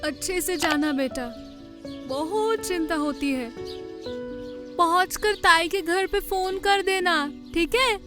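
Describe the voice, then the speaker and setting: Anxious cartoon mother, acted by a woman: piercingly high, drawn-out fretful delivery, music playing loudly underneath. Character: worried, loving mother of a grown son. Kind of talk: Hindi cartoon story acting.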